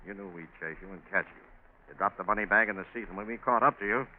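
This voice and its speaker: Stern, shrewd male police officer played by an actor, American, lowish voice, dry and accusing; 1940s radio sound. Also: fast talker, natural voice. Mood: sardonic, accusing. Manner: clipped, stern, sardonic. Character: tough, shrewd state police lieutenant, accusing. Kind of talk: 1940s radio crime drama acting. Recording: noisy narrow-band 1940s radio.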